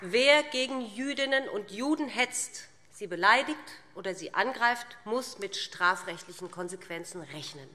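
A middle-aged woman giving a formal, prepared political speech with deliberate, emphatic phrasing, speaking at a lectern microphone as a parliamentarian. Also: mid-range voice, lively intonation, bright, forceful tone. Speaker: parliamentary speaker for a party group. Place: large indoor parliament chamber. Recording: reverberant large hall.

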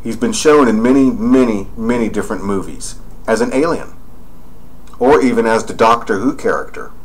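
A man's voice: lowish voice, expressive, normal speed, poor audio. A man narrating over screen images, rambling and pointing out details as he goes. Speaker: video narrator.

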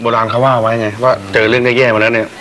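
An actor playing a bossy, short-tempered eldest brother in a sitcom, grumbling and yelling back at his siblings. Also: mid-range voice, level tone, rapid-fire, natural voice. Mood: wry. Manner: grumbling, then yelling back in a comic shouting match. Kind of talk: sitcom acting.

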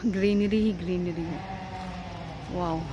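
A woman's voice: on the low side, steady tone, a little slow. A young woman with an Indian accent, chatting casually to camera in a vlog as a startup founder, mixing Hindi and English. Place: outdoor garden cafe. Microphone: phone camera held by hand. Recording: outdoor phone audio with background noise.

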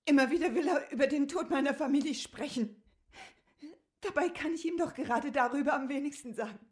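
An actress playing an exhausted, anxious middle-aged cook in a radio drama, speaking in a hushed, faltering way. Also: female voice, sits high, a little fast, close to an everyday voice. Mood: distressed. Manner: hushed, faltering, often whispering. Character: exhausted, anxious 44-year-old cook, troubled and ashamed. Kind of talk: German radio drama acting.

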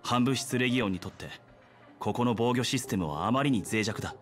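An actor playing a stoic, serious young guard in a Japanese anime game, cool and matter-of-fact. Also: male voice, medium pitch, brisk, faint music behind, close to an everyday voice.

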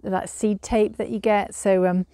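A woman's voice: mid-range voice, level tone, a little slow, warm tone, smooth, no echo, studio quality. A woman in her thirties giving a casual walk-through tour of her home garden and greenhouse, chatty and hesitant with many fillers, recorded outdoors on a camera mic while she walks.

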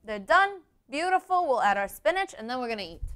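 Clear, higher-pitched woman hosting cooking. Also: very animated, brisk, a bit bright. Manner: explains step by step in chatty asides. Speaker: cooking show host. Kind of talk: casual cooking demonstration. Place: home-style kitchen, indoors.

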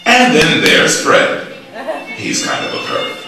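A man's voice: on the high side, huge pitch swings, slow, over music. A man giving theatrical storybook narration as the narrator of a stage play. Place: small theatre stage, music behind. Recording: echoey stage recording from far off.

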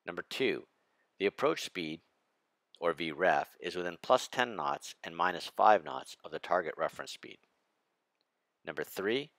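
A man, a flight instructor, narrating a lesson on approach and landing in a measured, precise way. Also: deep voice, fairly bright tone, dry recording. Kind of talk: scripted narration over slides.

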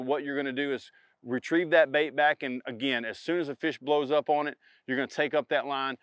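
A middle-aged man with a smooth, resonant voice at an ordinary pitch, speaking with confident emphasis and doubling up his key words. The sound is dry and close despite the outdoor setting, like a lapel mic. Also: fairly bright tone, no room sound. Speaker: pro bass angler. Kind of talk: instructional product demo to camera. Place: outdoors in a spring woodland.

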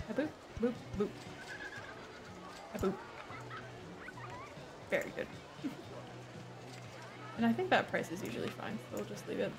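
A woman livestreaming a game, chatting casually, laughing often and swearing freely, her voice a little hoarse. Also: a little high. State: slightly hoarse. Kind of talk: casual gameplay chat. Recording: noisy home stream recording.

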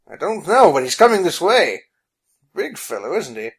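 Narrator doing a calm storybook gent, nonchalant, bit husky, lively pitch. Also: normal speed, exaggerated voice. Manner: unruffled, understated. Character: William, calm, nonchalant, mildly annoyed gentleman.

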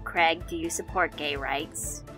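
A woman's voice: deep voice, fairly even intonation, measured pace, bright, forceful tone, faint music behind. A woman voicing a grumpy cartoon teen boy in a fan dub of comic strips, sounding snappy and exasperated.

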